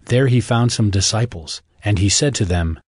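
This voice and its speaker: A man giving measured, formal scripted narration for a dramatized Bible. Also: fairly low pitch, husky, no echo, studio quality. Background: music.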